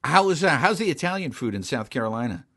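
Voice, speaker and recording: A man's voice: medium pitch, very animated, quick pace, sharp tone. A man hosting a podcast, asking a guest casual questions in an upbeat, friendly way. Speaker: podcast host.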